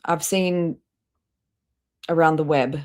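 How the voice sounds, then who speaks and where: A woman's voice: low voice, fairly even intonation, slow, a little mellow. A woman hosting a live stream with casual, blunt, critical reaction commentary and some swearing.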